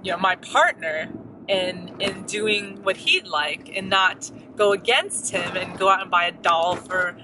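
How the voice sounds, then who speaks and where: A woman's voice: on the low side, unhurried, background noise. An American woman co-hosting a family vlog, talking in an earnest, chatty way. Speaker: vlog co-host. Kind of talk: casual chat.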